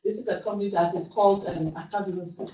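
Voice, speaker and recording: A woman's voice: mid-range voice, fairly even intonation, fast talker, mellow. A middle-aged woman with a Nigerian English accent, teaching a classroom lecture in a chatty way that keeps checking in with "okay". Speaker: instructor. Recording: roomy, distant, dull classroom recording.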